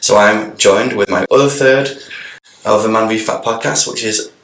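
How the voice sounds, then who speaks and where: A man hosting a podcast, croaky from a sore throat, jokey and enthusiastic with his guest. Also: on the low side, normal speed, fairly bright tone, slight background noise. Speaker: podcast host. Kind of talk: casual podcast chat. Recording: roomy echo.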